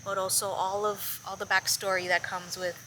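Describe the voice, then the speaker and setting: A woman's voice: mid-range voice, little pitch movement, moderate pace, sharp tone. Woman, from Colombia, podcast host, reflective, hesitant, audible noise with crickets behind. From Colombian, living in Miami. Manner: reflective, thoughtful, hesitant restarts. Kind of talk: casual interview conversation.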